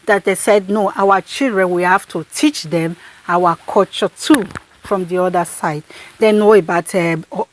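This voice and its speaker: A woman's voice: mid-range voice, rough recording. A woman host giving her opinion in an insistent, repetitive way, restarting her sentences often. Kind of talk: commentary.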